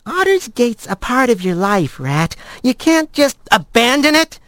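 Man voicing a brash, high-pitched female animal pal. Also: sing-song, measured pace, a bit bright, no room sound, studio quality, exaggerated voice. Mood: coaxing. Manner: wry, casual, needling. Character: brash, teasing young female woodland animal builder. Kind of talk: animal fantasy audiobook character.

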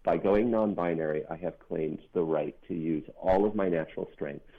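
A middle-aged non-binary author speaks with a very low, gravelly bass voice that has a husky edge. The talk is thoughtful and earnest, with pauses and restarts along the way. It comes through a narrow, phone-like line. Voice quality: deep bass voice. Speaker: author guest. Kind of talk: reflective interview talk.

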